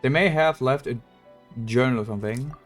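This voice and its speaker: A man's voice: mid-range voice, normal speed, soft background music. A man streaming a video game, giving casual, offhand and jokey commentary as he plays.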